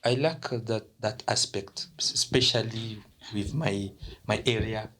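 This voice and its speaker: A young man from DR Congo answers interview questions about his university, in a voice that sits a little low. He hesitates a lot, filling gaps and starting his phrases over. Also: unhurried, bright, forceful tone, slight background noise. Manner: hesitant, many fillers and restarts. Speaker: international student representative.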